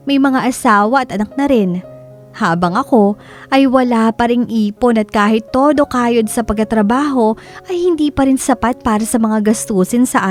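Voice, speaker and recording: A woman's voice: a little high, close to an everyday voice. A woman playing an overworked breadwinner in her thirties, earnestly narrating her own life in a scripted drama. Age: 30s. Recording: music under the voice.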